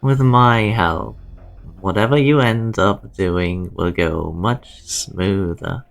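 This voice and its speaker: A man playing a proud, calculating, disgraced research director, speaking deliberately and insistently. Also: deep voice, creaky, exaggerated voice. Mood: insistent, defiant. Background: faint music.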